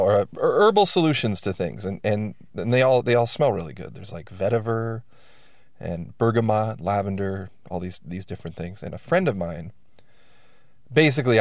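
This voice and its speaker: A man's voice: deep voice, darkish tone, no room sound. A man hosting his own podcast, sounding stuffed up from allergies, talking in a wry, self-deprecating ramble full of restarts. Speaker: podcast host. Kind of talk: casual rambling monologue.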